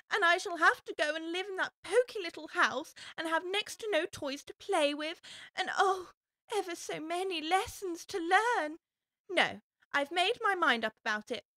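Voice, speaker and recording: High-voiced, expressive little girl. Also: dry recording, heavily acted. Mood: upset. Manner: earnest self-questioning, talks to herself aloud. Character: young girl Alice, polite, puzzled, easily upset. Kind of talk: full-cast audiobook storybook acting.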